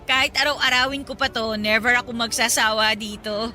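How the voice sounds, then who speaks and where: Stubborn young provincial working woman, radio drama, high bright voice, practical and chatty, music underneath. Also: fairly even intonation, a little fast. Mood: fond, homesick.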